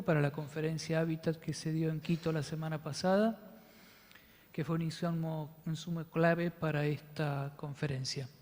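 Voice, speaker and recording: Male moderator, slightly high voice, formal read-out introduction, long hall reverb. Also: darkish tone. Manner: reads a formal biography, with some hesitations. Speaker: conference moderator.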